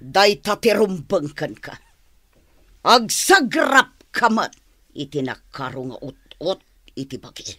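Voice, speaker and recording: A cruel Filipino villainess in a radio drama, taunting at a very slow, drawn-out pace. Her voice is bright and swings with gloating mockery, recorded dry and close. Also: on the low side, sing-song, no echo, heavily acted. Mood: mocking, sadistic. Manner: mocking, drawn-out, laughing taunts. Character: cruel gloating woman who taunts a battered man. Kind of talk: Philippine radio drama acting.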